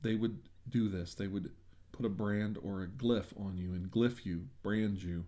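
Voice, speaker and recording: A man doing a soft-spoken ASMR roleplay as an examiner, talking in a hushed, reassuring way. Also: lowish voice, steady tone, a little slow. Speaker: ASMR roleplayer acting as examiner treating a client.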